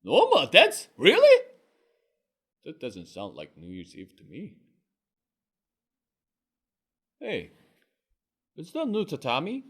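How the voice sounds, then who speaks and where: A male storyteller playing an excitable fishmonger husband, boisterous and pleading by turns, his voice leaping all over the place. He is a sheepish drinker who talks loud and eager. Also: high voice, measured pace, slightly rough, full character voice. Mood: contented. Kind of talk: one-performer comic storytelling.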